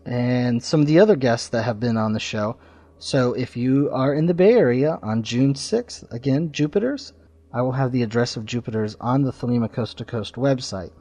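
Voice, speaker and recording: A man hosting a podcast, giving informal announcements and reviews in a halting way, with pauses mid-sentence. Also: mid-range voice, warm tone. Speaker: podcast host.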